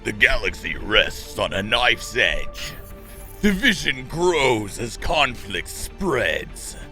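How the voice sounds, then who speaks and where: Animated man narrating a trailer over music. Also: mid-range voice, slow. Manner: portentous. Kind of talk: dramatic scripted trailer narration. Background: prominent music.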